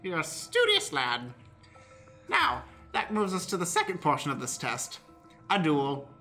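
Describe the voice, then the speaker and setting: Woman playing an awkward troll with swooping pitch. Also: wildly swooping pitch, bright, forceful tone, exaggerated voice. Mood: quizzing, ceremonious. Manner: casual, halting, repeats words, odd swoops. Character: awkward troll host, rambling, mildly put-out homeowner. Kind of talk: improvised comedy radio play. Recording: music behind. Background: faint music.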